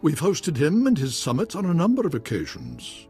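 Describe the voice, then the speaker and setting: A man playing a pompous Baron in a video game, speaking in a grandiose, courtly and measured manner with a husky voice. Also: a little high, huge pitch swings, darkish tone, soft background music. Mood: musing, courtly. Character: pompous, courtly Baron ruling a noble house. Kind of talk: scripted video game drama acting.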